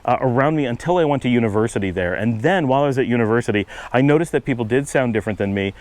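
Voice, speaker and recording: Middle-aged man, Buffalo-Cleveland accent, quick casual outdoor vlogger, medium pitch. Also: lively intonation, a little mellow, no room sound. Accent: Buffalo-Cleveland American. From upstate New York. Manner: fast-talking, trying to slow down. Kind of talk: casual walking vlog. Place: outdoors on a windy street.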